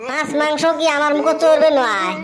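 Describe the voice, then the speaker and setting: A woman's voice: high voice, lively intonation, fairly quick, crowd noise. A woman voicing a cheeky, defiant village child in a comedy cartoon, complaining and bossy in a rural northern Bengali border dialect. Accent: rural northern Bengali dialect of the Assam-Bengal border.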